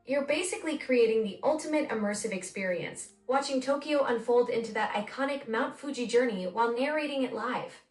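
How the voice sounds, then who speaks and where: An effusive, eager synthetic woman's voice, pitched a little high, gushing with praise in a chatty conversation. She sounds set back from the mic in a roomy space, with faint music underneath. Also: brisk, few pauses, far from the mic. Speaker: AI voice assistant co-host. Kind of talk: conversational chat.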